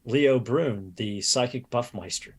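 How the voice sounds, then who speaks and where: A man's voice: medium pitch, unhurried, a little hiss or hum. Friendly man steering the chat. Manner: friendly, short reactions, steering talk. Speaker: co-host. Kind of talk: casual stream chat.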